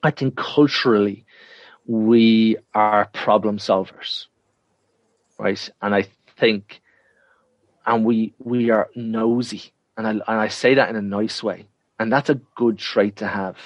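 A frank Irish man talks in a lowish register, leaning hard on his points and often saying them twice. His voice is dry and close, with no room sound. He sounds like he is on a video call. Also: steady tone, takes it slowly, no room sound, dull audio. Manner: frank, emphatic, repeats himself when making a point. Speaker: interview guest. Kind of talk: conversational interview answers.

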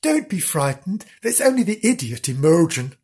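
A storyteller voicing a gentle young girl in a children's story who gets bossy and fussy. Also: medium pitch, sing-song, measured pace, breathy edge, pitched above the performer's own voice. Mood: soothing, exasperated. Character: gentle, fussing child Gillian, bossy when annoyed.

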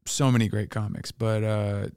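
Brief: relaxed male host, smooth lowish voice, dry close mic. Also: moderate pace, no echo. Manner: laid-back, short backchannels, easy laughter. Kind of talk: casual podcast chat.

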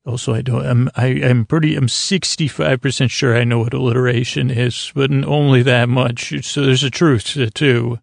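A man hosting a sleep podcast in a meandering, halting, self-deprecating bedtime monologue, with a gravelly creak in his voice. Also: mid-range voice, fairly even intonation, dry recording, studio quality. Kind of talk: rambling, improvised bedtime monologue.

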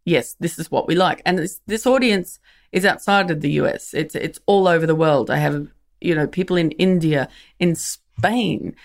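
Woman guest, comedian; gravelly, frank, wry, self-correcting. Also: deep voice. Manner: frank, wry, with halting restarts. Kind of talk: reflective interview talk.

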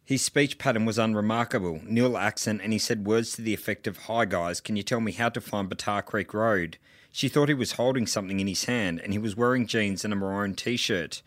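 A man's voice: fairly low pitch, brisk, fairly bright tone, no echo. Dry-sounding man reading a formal police statement.

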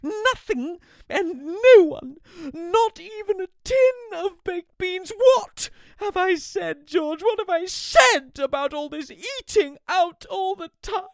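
A man voicing a frantic, overbearing middle-aged mother, in a shrill, melodramatic, scolding manner. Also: expressive, slow, creaky, no echo, full character voice. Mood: hysterical.

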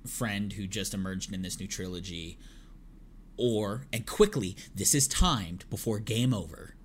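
A man's voice: fairly low pitch, a little hiss or hum. A man chatting as a podcast co-host, retelling at length with lots of ums and uhs. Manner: rambling retelling with many fillers. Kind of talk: casual chat.

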